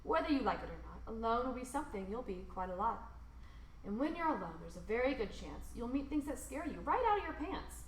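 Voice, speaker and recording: A woman reads a rhyming children's picture book aloud expressively, with theatrical emphasis and drawn-out words. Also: fairly high pitch, big pitch swings, echoey room, a little hiss or hum, poor audio. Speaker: storybook reader.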